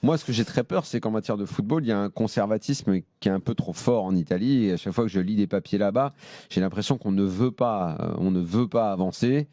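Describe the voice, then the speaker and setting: A man's voice: fairly low pitch, fairly quick, warm tone, no room sound. A man debating football as a studio pundit, emphatic and often restarting mid-sentence. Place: radio and TV studio.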